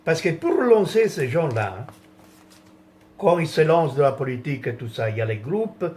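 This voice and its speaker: Indignant rambling man, slightly high voice. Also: sing-song, normal speed, darkish tone. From France. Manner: indignant, rambling, many restarts and trailing phrases. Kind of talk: rambling political rant.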